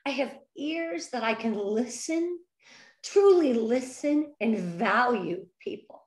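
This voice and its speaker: A woman's voice: a little high, lively intonation, takes it slowly, roomy sound. A woman in her fifties, an interview guest, talking in an effusive, earnest way with nervous energy. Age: 50s. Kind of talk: emotional heartfelt interview.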